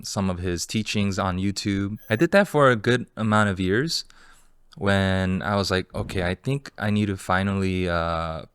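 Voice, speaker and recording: A man in his thirties from Los Angeles, a guest entrepreneur telling his story in a relaxed, candid way with many fillers. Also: deep voice, smooth, dry recording. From Los Angeles, California. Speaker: guest, entrepreneur and fund manager. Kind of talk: casual interview storytelling.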